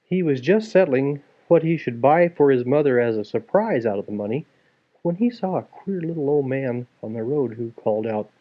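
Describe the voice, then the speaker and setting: A man's voice: medium pitch, animated, moderate pace. A man reading a story aloud to a child, expressively, with casual asides. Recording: dull lo-fi home recording.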